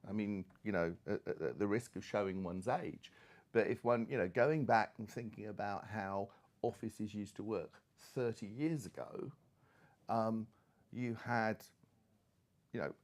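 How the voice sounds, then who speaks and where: Middle-aged man, somewhat low pitch, expert panellist, conversational, discursive, full of asides. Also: a little slow.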